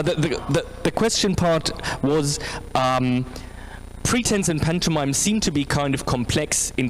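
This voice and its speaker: A man with a mid-pitched voice, recorded with noise and ambience behind him. He puts an academic question tentatively, pausing and rephrasing as he thinks aloud. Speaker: academic audience member asking a question. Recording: clearly noisy, background room ambience.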